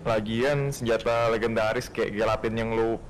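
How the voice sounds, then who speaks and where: A man playing a calm, all-knowing dragon god in a Minecraft roleplay, explaining things bluntly in a measured way. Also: fairly low pitch, a little hiss or hum, lightly acted, poor audio. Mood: guiding. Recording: music behind the voice.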